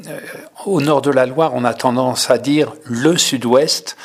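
A man interviewing a guest, asking long questions in a measured, formal way. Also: mid-range voice. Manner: measured, elaborate phrasing. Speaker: radio interviewer.

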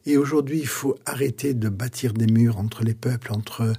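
Older man, pastor, husky, mellow, earnest and reflective, radio interview. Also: medium pitch. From France. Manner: earnest, reflective, preacherly pauses.

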